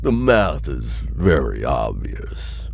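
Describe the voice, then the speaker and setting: A man's voice: rumbling low, big pitch swings, slow pace, gravelly, a little mellow, slight background noise, pitched below the performer's own voice, full character voice. A man playing a cold, pragmatic corporate executive in an audio drama, speaking in a clinical, dismissive way. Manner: clinical, matter-of-fact, dismissive. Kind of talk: audio roleplay acting, boardroom recording.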